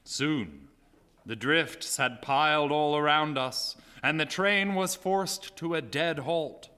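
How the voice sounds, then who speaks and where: A man acting as a grave storyteller, narrating theatrically in a live radio drama with measured delivery. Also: on the high side, sharp tone, smooth. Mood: grave, ominous. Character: grave male storyteller recounting a snowbound train disaster. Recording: live theater hall, long reverb, music.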